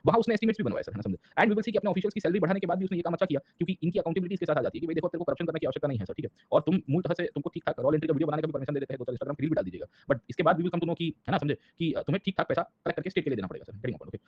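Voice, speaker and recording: Indian male teacher for exam-prep lecture: fairly high-pitched, husky, informal, mixes languages, checks in often. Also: animated, rapid-fire, few pauses, lo-fi sound. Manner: punctuates sentences with short check-in tags; mixes two languages mid-sentence. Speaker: history teacher in a live online class.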